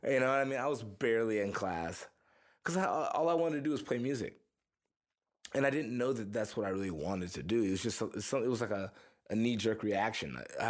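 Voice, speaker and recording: Young guy from texas, chill and rambly, swears a lot, talks about his music. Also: fairly low pitch. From Houston, Texas area. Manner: relaxed, profane, rambling storyteller. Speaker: musician guest. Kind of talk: casual interview chat.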